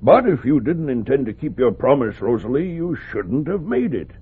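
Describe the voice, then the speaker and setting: A man's voice: medium pitch, sing-song, normal speed, husky. An actor playing a stern, principled king and father in a radio fairy tale, admonishing in a theatrical way. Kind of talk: old-time radio fairy tale acting. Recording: tinny, muffled old radio recording.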